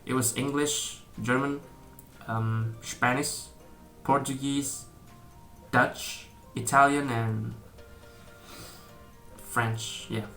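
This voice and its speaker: Young man, Indonesian accent, bright tone, hesitant casual vlog talk, soft music behind. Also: medium pitch, fairly even intonation, very slow, pauses often. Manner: hesitant, many fillers and restarts, laughs often. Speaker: vlogger.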